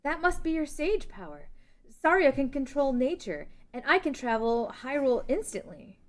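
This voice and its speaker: A woman acts out a young fantasy princess with a high voice that moves up and down freely. She is earnest and decisive, commanding yet compassionate, as in a game story read aloud. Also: very animated, moderate pace. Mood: resolute. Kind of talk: amateur table read of a game fan script.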